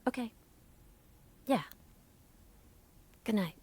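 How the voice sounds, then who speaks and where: A woman's voice: a little high, lively intonation, extremely slow, darkish tone, breathy edge, dry recording, full character voice. A woman playing an eager, youthful clinic helper in an audio drama. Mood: anxious. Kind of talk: naturalistic audio drama acting.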